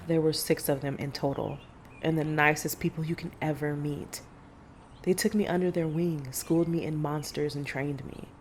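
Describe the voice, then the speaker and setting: A woman acting a low-voiced, grave female monster hunter. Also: steady tone, moderate pace, darkish tone. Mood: reflective. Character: Stella, grave monster-hunter mother recounting past horrors. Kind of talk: audio drama storytelling. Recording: faint background noise.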